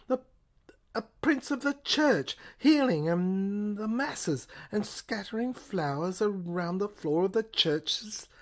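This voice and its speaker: A woman narrating an audio play in a halting, theatrical way, repeating words for effect. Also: medium pitch. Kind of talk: dramatic literary narration, reading all parts.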